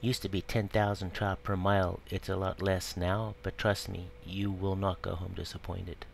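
A man's voice: low-pitched, flat delivery, moderate pace, gravelly. A man narrating a fishing vlog in short, clipped, informal phrases with a promotional bent. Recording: loud outdoor water and boat noise.

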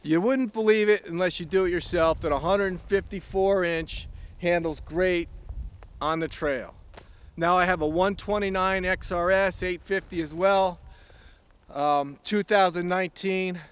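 A man's voice: high voice, fairly even intonation, a little slow, clean tone. A man giving an enthusiastic, plainspoken review outdoors on a snowy farm, talking casually and a bit rambling. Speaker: snowmobile reviewer.

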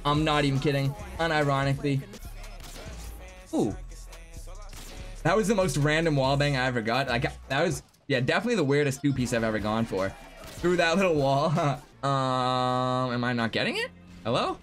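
A young man in his twenties live-streaming a game from his home setup, chatting excitably with viewers, swearing freely and going hoarse. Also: mid-range voice, moderate pace, soft background music. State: losing his voice. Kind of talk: live gaming stream chat. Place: home gaming room.

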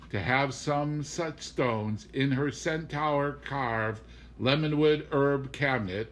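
A man reading a comic literary novel aloud as scripted audiobook narration. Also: mid-range voice. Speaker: audiobook reader.

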